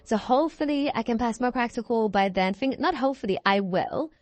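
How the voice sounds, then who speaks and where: A woman's voice: a little high, animated, brisk, dry recording. A British woman in her early twenties chatting casually in a rambling, self-correcting way, as a video creator talking to viewers. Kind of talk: casual rambling chat over gameplay.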